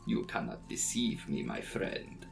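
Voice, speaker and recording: A man voicing a menacing, mysterious tall stranger in a dramatic audiobook, slow, deliberate and sinister. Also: faint music behind, lightly acted. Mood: cool menace.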